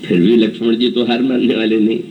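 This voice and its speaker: A man's voice: on the low side, level tone, quick pace, far from the mic, muffled recording, slight background noise. A man in his seventies giving a devotional scripture discourse, telling the story and acting out characters' dialogue. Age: 70s. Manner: storytelling, voices characters' dialogue and chants verses. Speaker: preacher.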